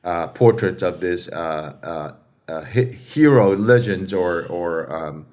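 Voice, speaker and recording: Deep-voiced Taiwanese-accented man. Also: a little mellow. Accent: Taiwanese Mandarin. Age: 50s. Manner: halting, repeats words, loose grammar. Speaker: guest. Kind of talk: casual interview storytelling.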